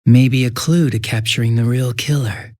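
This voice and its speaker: An actor playing a smug, two-faced young police detective in a video game, casual and sarcastic with a taunting, cruel edge. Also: male voice, medium pitch, steady tone, moderate pace, darkish tone, close to an everyday voice. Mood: sly, probing. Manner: casual, sarcastic, taunting, shifting from breezy to menacing. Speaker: police officer.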